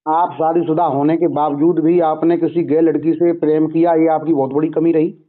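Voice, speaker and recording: Man, slightly high voice, moralizing and admonishing in conversation, heard through a narrow phone line. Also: level tone, normal speed. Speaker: caller questioning and admonishing the other man. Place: phone call. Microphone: telephone. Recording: tinny band-limited phone call recording.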